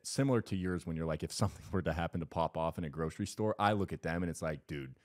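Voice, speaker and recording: Low-voiced man chatting on a podcast. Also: quick pace. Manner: rambling, animated, gestures a lot. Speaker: podcast co-host. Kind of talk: casual chat. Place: podcast studio. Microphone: headphones and boom mic.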